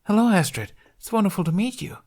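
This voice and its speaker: Man putting on a high, bubbly tiny woman bard. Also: very animated, fast talker, darkish tone, full character voice. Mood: delighted. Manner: gushing, beaming, eager.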